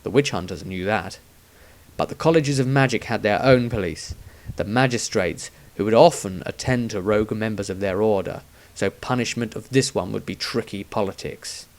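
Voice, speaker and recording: A man's voice: fairly low pitch, normal speed. A man reading his own fantasy story aloud as a scripted, dramatic narration.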